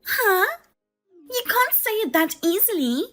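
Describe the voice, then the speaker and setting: A woman voicing a demanding, scornful sister-in-law who accuses and mocks loudly. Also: very high-pitched, sing-song, measured pace, soft background music, pitched above the performer's own voice, heavily acted. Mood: indignant. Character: shrill, demanding female sister-in-law, a mother.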